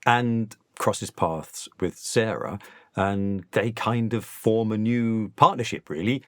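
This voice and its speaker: A man's voice: lowish voice, slow, fairly bright tone, dry recording, clean studio sound. A man talking about a production in an interview. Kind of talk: interview chat.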